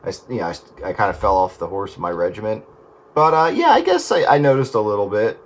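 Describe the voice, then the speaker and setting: A man with a slightly low voice rambles through casual radio talk, reworking his sentences midway. There is faint noise on the signal. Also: sing-song, fast. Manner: rambling, self-correcting. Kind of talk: casual chat over the radio.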